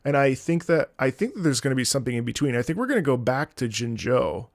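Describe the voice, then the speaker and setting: Gravelly man, casual rambling game commentary. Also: medium pitch, big pitch swings, fast talker, no room sound, studio quality. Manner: rambling, thinking aloud. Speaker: streamer.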